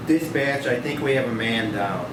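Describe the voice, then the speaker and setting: A man's voice: medium pitch, moderate pace, lightly acted. A man playing a mild, folksy passenger in a comic table read. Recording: distant mic, echoey noisy room.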